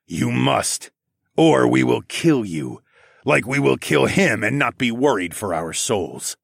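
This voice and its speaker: A man voicing a stern, placid wolfman leader with a slow, growling, commanding delivery, as in an audiobook. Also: mid-range voice, sing-song, creaky, dry recording, clean studio sound, exaggerated voice. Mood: cold, threatening. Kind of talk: audiobook character voice.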